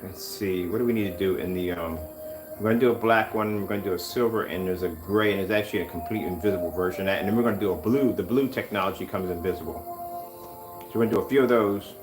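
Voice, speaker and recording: A middle-aged man chatting casually while working in a workshop, wandering off topic with animated bursts. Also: sits low, fast talker, music in the background. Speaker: screen paint maker.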